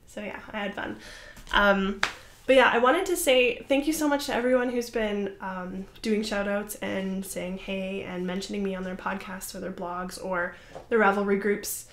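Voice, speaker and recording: A woman's voice: medium pitch, steady tone, normal speed, a little hiss or hum. A young woman hosting a crafting podcast, chatting casually to the camera in a rambling, apologetic way with lots of fillers. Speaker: podcast host. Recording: roomy echoey room.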